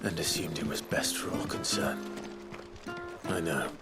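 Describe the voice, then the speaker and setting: A man's voice: very deep voice, measured pace. A man playing a brooding, stoic fantasy warrior in a video game, grave and terse. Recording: loud music behind the voice.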